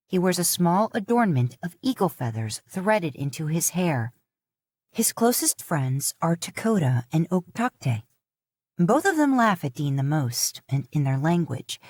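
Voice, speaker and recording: A woman's voice: low-pitched, darkish tone, breathy edge, no room sound, studio quality. A woman reading a scripted story aloud in the third person, as an audiobook narrator. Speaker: fan fiction audiobook narrator.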